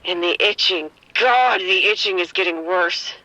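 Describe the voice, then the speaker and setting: An actress playing a frightened woman who has been bitten and is falling ill, speaking in shaken, halting bursts in an audio drama. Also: fairly low pitch, pushed and bright, no echo, low-quality recording. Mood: sick, fading. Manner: shaken, halting, breathy fear.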